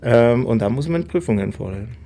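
A man in his thirties with an Israeli accent answers interview questions in careful, non-native German. His voice has a gravelly, creaky edge, and he sits at an ordinary middle pitch. He pauses often to search for words. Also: expressive, warm tone. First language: Hebrew. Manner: halting, many fillers, non-native phrasing. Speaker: rabbi.

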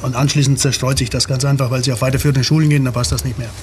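A man in an interview, explaining in a steady, informative way. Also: mid-range voice, racing, slight background noise. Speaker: club member.

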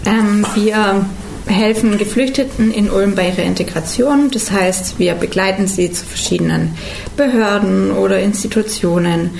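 Woman in her twenties, radio studio guest, calm and explanatory, faint background hiss. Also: mid-range voice, normal speed, vocal fry. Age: 20s. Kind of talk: radio interview answers.

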